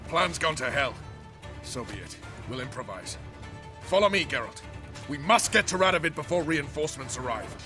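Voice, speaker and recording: An actor playing a gruff, decisive soldier and loyal patriot in a fantasy video game, speaking emphatically. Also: male voice, on the high side, animated, normal speed, music in the background, close to an everyday voice. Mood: urgent, determined. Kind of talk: dramatic video game voice acting.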